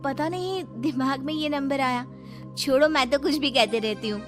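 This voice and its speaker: Woman playing an anxious, high-voiced college girl. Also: moderate pace, fairly bright tone, soft background music. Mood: uneasy, explaining. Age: young adult. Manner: anxious, dramatic, emotive. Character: frightened college girl who has eerie premonitions. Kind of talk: Hindi horror audio story acting.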